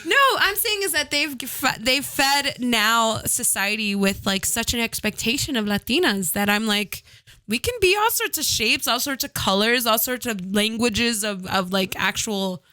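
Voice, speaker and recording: A woman guest in casual podcast chat, a little tipsy, animated and swearing, laughing often. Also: on the high side, moderate pace, dry recording. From United States.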